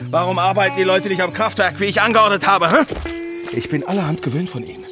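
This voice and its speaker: An actor playing a blustering, exasperated construction site chief, ranting with bossy sarcasm in a radio play. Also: male voice, sits high, fast talker, over music, close to an everyday voice. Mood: angry, threatening. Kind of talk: theatrical radio play acting.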